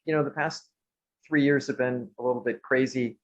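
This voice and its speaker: A man giving informal, halting remarks of thanks as a participant in an online event. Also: medium pitch, steady tone. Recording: dull video-call sound.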